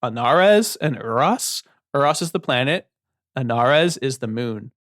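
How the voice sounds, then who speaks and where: A man's voice: mid-range voice, expressive, unhurried, fairly bright tone, no room sound, clean studio sound. A man co-hosting a book podcast, explaining the plot at length in a casual way. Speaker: podcast co-host.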